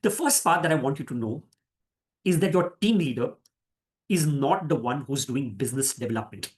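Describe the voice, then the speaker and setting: A man with an Indian accent giving an orientation talk as a company director, speaking deliberately and emphatically, repeating phrases for emphasis. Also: mid-range voice, expressive. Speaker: managing director leading an orientation. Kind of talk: orientation talk to new franchise partners.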